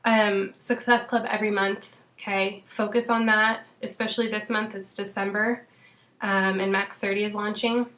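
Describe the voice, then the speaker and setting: A woman in her thirties with a hoarse, fading voice talks casually to her coaching team, filling pauses with "um" and "you know". Her pitch sits in a middling range. The room around her sounds echoey. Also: level tone, fairly bright tone. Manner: chatty, frequent "um" and "you know". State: losing her voice. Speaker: fitness coach.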